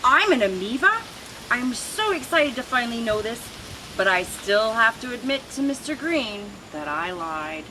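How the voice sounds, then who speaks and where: A woman voicing a remorseful, excitable young male lizard in a read-aloud storybook. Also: a little high, moderate pace. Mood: earnest. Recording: noisy outdoor recording with traffic.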